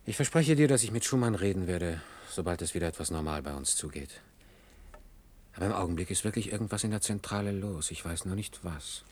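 An actor playing an idealistic, nonconformist programmer in a German science-fiction radio drama, speaking earnestly and emphatically. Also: male voice, low voice, expressive, fairly quick, darkish tone, breathy edge. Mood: subdued, conciliatory.

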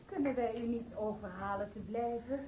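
A woman's voice: a little high, moderate pace, warm tone, close to an everyday voice. A woman acting in a radio play as the hospitable wife of a ruler, coaxing politely and a little hesitantly. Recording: dull old recording, faint hiss.

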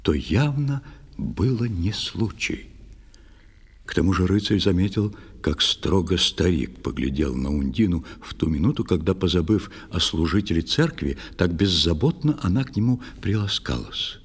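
A man narrating a verse tale in a dramatic audio play, solemn and expressive, with measured phrasing. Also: low voice, breathy edge. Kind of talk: dramatic verse storytelling for children. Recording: music under the voice. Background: music.

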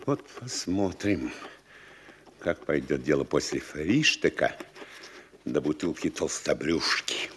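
An actor playing a fawning, scheming middle-aged town mayor in a classic Russian comedy audio play, obsequious and bossy by turns. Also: male voice, rumbling low, very animated, slow, pauses often, background noise, full character voice. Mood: scheming muttering. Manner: obsequious, swinging between oily courtesy and gruff muttering.

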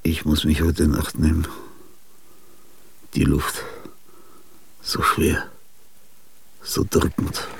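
Radio drama lead: gravelly man, slow and weary, drifting into whispers; faint background hiss. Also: extremely low pitch, monotone. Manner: weary, whispering inner monologue. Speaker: narrator and protagonist.

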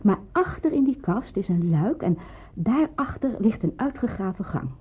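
No-nonsense woman in hiding, bit raspy, explains stuff briskly, old radio play, thin phone-like sound. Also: mid-range voice, big pitch swings. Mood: brisk, instructive. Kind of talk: Dutch radio play acting. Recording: muffled, narrow-band old radio recording.

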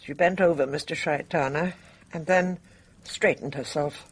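A woman's voice: low voice, moderate pace, slightly rough. An actress playing a resolute, dignified older Englishwoman in a radio drama, speaking with formal, grave composure. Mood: grave confession. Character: dignified older woman, resolute, guilt-burdened bridge player.

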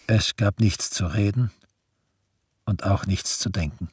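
A man's voice: fairly low pitch, measured pace, breathy edge, no room sound. A man narrating scripted literary prose for a radio play. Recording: studio radio play, loud music underneath.

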